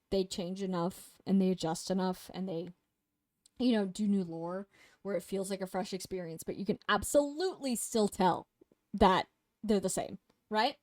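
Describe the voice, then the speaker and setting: A woman's voice: mid-range voice, moderate pace, a little mellow, no room sound, studio quality. Casual, rambling young woman reviewing games. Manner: rambling and profane, with many fillers. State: sniffly, losing her voice. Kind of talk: casual chat.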